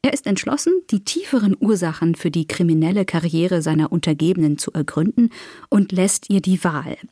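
A woman's voice: fairly low pitch, brisk, warm tone, husky, no echo, studio quality. A woman reading a scripted case summary as a podcast narrator.

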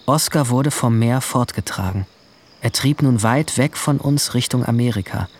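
A young man playing a brooding, guilt-haunted seventeen-year-old boy, narrating his story in measured, introspective short sentences in a radio drama. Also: medium pitch, lightly acted. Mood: wistful, numb. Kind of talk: literary first-person radio drama narration. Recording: music bed behind the voice.

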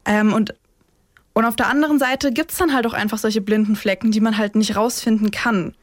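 A woman's voice: on the high side, fairly even intonation, moderate pace, no echo. A woman co-hosting a true-crime podcast in a casual, colloquial conversation. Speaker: podcast co-host.

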